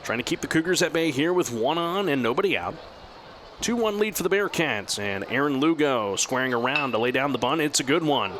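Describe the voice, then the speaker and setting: A man working as a radio announcer, giving baseball play-by-play commentary that moves between measured description and excited calls. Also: on the high side, a little hiss or hum.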